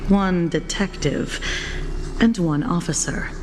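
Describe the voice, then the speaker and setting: Low, slow, dry female officer. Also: background noise. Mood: firm, serious. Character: blunt, self-assured female security officer, dry and vigilant. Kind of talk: video game voice acting.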